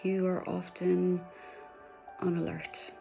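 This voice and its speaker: A woman leading a guided meditation in a soft, soothing voice, slipping at times into breathy whispers. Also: fairly low pitch, little pitch movement, takes it slowly, faint music behind.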